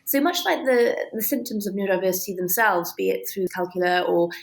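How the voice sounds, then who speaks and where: Halting, reflective woman with mid-pitched voice. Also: vocal fry. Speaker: interview guest, author. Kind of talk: conversational interview answers.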